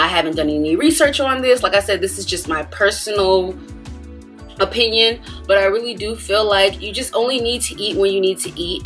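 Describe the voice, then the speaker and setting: A woman's voice: medium pitch, fairly bright tone. A young woman chatting casually to camera in a vlog, talking with her hands and laughing now and then, in a dim indoor room. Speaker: vlogger.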